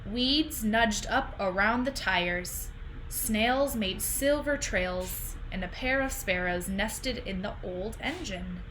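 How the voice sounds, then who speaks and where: A woman's voice: medium pitch, slow, fairly bright tone, poor audio. A woman in her thirties, a teacher talking simply to young children as she reads a storybook aloud at home. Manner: questioning, explaining simply to children. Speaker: teacher reading a picture book aloud. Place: indoors in a home room. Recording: clear background noise, home video call.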